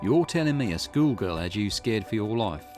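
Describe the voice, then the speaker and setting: A man's voice: on the low side, very animated, moderate pace, music in the background. A man voicing a skeptical, plain-spoken private detective with a dry, questioning manner in a visual novel. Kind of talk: character voice reading visual novel dialogue.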